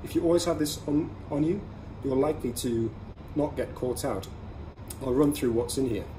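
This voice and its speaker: A man hosting a casual product review, explaining things conversationally in short, measured phrases. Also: medium pitch. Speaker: podcast host reviewing everyday carry products. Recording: clearly audible ambient background noise.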